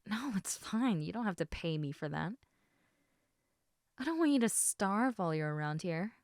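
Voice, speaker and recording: Woman playing a teasing lamia, low, close dry voice. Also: big pitch swings, no room sound. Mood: casual, caring. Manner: chatty, informal, halting with trailing thoughts. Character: sleepy, teasing half-human half-snake lamia woman, affectionate and easygoing. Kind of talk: casual intimate audio roleplay addressed to listener.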